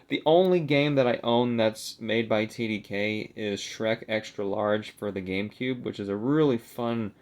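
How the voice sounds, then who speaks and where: A young adult man chatting casually and unscripted for a video, rambling and hesitant, with a self-deprecating manner. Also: mid-range voice. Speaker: video creator showing a collectible. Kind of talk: casual unscripted vlog chat.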